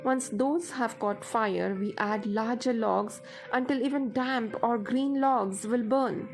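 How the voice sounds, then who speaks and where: A woman guides a meditation in a fairly high voice. She speaks very slowly and in a measured, instructive way. Music plays softly behind her words.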